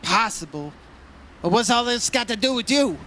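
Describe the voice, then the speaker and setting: A man's voice: very high voice, lively intonation, moderate pace, pushed and bright, slight background noise, full character voice. A man playing a wry, teasing insurance investigator in an old-time radio detective play. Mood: probing, businesslike. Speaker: lead actor in a radio play.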